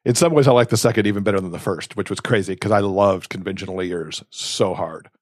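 A man's voice: low voice, a little fast, no echo, clean studio sound. A man chatting casually and enthusiastically about books as a podcast co-host. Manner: enthusiastic, gushing. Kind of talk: casual book chat.

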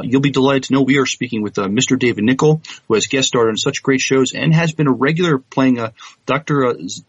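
Halting male podcast host, slightly muffled. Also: mid-range voice, breathy edge, no echo. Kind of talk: casual podcast talk and guest introduction.

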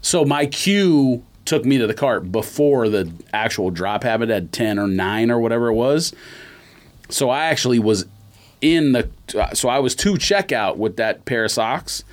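A man's voice: mid-range voice, lively intonation, normal speed. A man co-hosting a podcast in casual chat, explaining things in an emphatic, opinionated way. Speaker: podcast co-host.

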